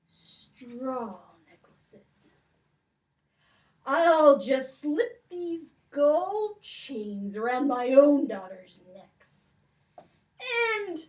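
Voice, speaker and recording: A greedy storybook giant acted out by a woman for a puppet show, hungry and gloating. He draws his words out very slowly and theatrically. The voice sounds a little way off from the microphone. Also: high voice, far from the mic, heavily acted. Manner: slow, gloating, drawn-out delivery. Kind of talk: children's puppet show folk tale.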